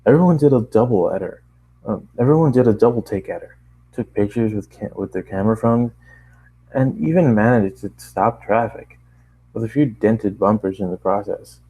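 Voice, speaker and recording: A low-voiced man narrates a story on a slightly muffled, lo-fi microphone. He reads as an amateur, stumbling over phrases and going back to repeat them. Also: moderate pace, breathy edge. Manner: stumbles over lines and rereads them, apologising. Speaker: amateur fiction reader and narrator. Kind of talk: reading a first-person fan story aloud.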